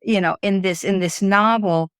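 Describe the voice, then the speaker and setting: An older woman being interviewed, talking reflectively and haltingly, repeating phrases as she searches for words. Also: mid-range voice, fairly even intonation, fairly bright tone, clean tone, no room sound, studio quality. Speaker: interview guest, author and psychologist. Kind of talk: reflective personal conversation.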